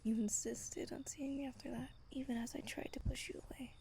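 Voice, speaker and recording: A woman playing an ancient, wistful vampire lover in a soft, breathy ASMR roleplay, telling her tale slowly and intimately. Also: sits high, steady tone. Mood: fond, tender. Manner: gentle, slow, intimate storytelling.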